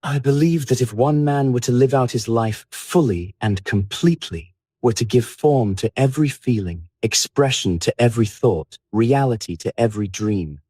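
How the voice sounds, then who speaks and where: Man playing a low, mellow, languid English lord. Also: no room sound, clean studio sound, natural voice. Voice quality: low, musical. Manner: eloquent, deliberate, lecturing. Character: languid, persuasive English lord, cynical philosopher. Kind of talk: audiobook character voice.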